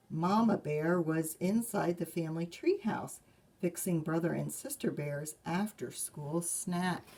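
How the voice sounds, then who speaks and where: A woman reading a picture book aloud to children as a librarian, expressive and measured, with asides to listeners. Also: on the low side. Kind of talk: children's storybook read-aloud.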